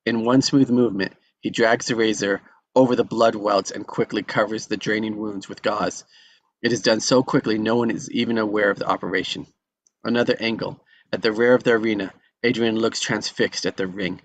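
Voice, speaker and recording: Low-pitched man reading stage directions. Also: steady tone, brisk, rough recording. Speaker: action narrator. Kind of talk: scripted narration at a table read.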